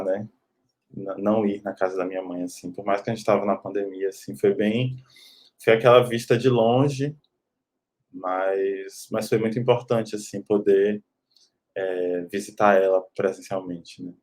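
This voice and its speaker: A man's voice: fairly low pitch, steady tone, a little slow, darkish tone. A man from Salvador, a film director speaking reflectively and hesitantly on an online panel over a video call. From Brazil, Salvador. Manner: reflective, hesitant, many fillers.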